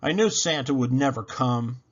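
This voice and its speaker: A man's voice: medium pitch, lively intonation, moderate pace, highs cut off, close to an everyday voice. A man voicing a skeptical, disappointed young boy with a sullen, mocking manner in a children's storybook.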